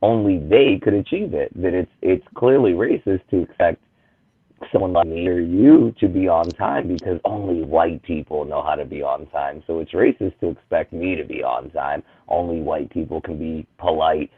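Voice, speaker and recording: A man from Boston with a very low voice, sarcastic and animated as he chats on a livestream. The sound is tinny and phone-like, and the room is dry and close. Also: normal speed, breathy edge, no room sound. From Boston, Massachusetts. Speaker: guest comic creator. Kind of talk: casual chat on a livestream.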